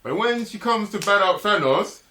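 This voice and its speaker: A man's voice: sits high, animated, normal speed, crowd noise. A man with a London accent in a casual film debate, sceptical and contrarian, using plenty of slang. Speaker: film review show co-host. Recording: echoey room sound.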